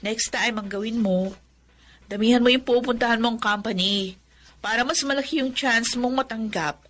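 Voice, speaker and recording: A woman's voice: a little high, normal speed, fairly bright tone. A woman acting in a radio drama as a caring young best friend, coaxing and reassuring. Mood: encouraging.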